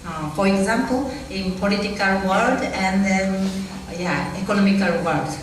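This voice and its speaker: Woman speaking at a gathering, hesitant and slow, picked up far off in a reverberant room. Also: medium pitch, slight background noise. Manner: hesitant, halting phrasing with fillers. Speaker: event speaker and moderator. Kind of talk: slow speech in non-native English. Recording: echoey hall, voice from far off.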